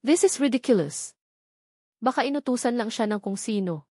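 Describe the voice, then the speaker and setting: A woman's voice: on the high side, expressive, normal speed, darkish tone, no room sound, studio quality. Woman narrator voicing a venomous, sweetly cutting female rival. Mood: defensive. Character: venomous female corporate rival, sweet-voiced schemer turning openly hateful.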